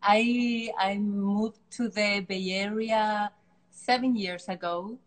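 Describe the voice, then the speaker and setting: A middle-aged woman with a Chilean Spanish accent talks about her life as a guest. She is a native Spanish speaker, and her voice sits at a middling pitch. She speaks warmly but haltingly, often restarting her sentences. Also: fairly even intonation. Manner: warm, halting, frequent restarts. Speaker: artist guest. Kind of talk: casual interview. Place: art studio.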